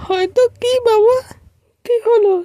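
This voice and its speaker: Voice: very high voice, fairly even intonation, unhurried, dark tone, no echo, studio quality. An actor voicing an anxious, pleading young village boy, a devoted son in a cartoon. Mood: worried. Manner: pleading, urgent.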